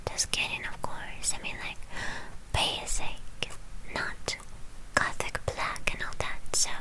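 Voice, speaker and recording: A woman whispering in a breathy voice close to the microphone, telling a roleplay story for ASMR in a halting, playful way. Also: poor audio. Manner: slow, halting, playful delivery with soft pauses. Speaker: ASMR performer.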